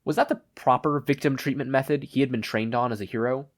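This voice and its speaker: A man narrates a story from a script. His voice sits at a medium pitch as he reads the prose aloud. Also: steady tone, fast. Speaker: podfic narrator.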